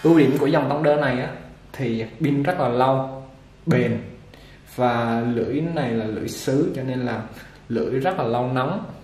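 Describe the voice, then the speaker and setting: A man with a mid-range voice walks through an unboxing at an easygoing pace, pausing with fillers while his hands are busy. The room sounds echoey, and a clipper motor buzzes clearly behind him. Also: medium pitch. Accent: Vietnamese. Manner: relaxed, talks while handling the product, many fillers. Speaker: product reviewer for a barber supply shop. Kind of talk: casual unboxing demonstration. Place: indoor shop table with clipper boxes. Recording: echoey room with machine noise. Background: clipper motor buzz and clicks.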